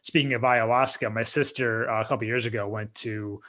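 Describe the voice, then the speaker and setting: A man's voice: medium pitch, steady tone, fairly quick, fairly bright tone. A man hosting a podcast, chatty and agreeable, often chiming in to back up his guest. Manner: agreeable, chatty, frequent backchannels. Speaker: podcast host. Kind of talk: casual podcast chat.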